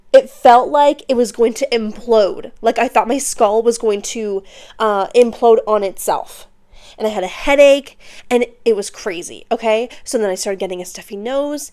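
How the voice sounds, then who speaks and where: A young woman hosting her own podcast, chatty and bubbly, sounding congested while getting over an illness. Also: on the high side. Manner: bubbly, chatty, uses slang and filler words. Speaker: podcast host. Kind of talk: casual solo podcast chat. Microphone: podcast microphone.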